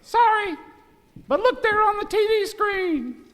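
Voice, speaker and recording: Shrill excitable office sidekick. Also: male voice, a little slow, exaggerated voice. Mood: excited. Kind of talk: amateur live radio skit. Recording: echoey hall with background noise.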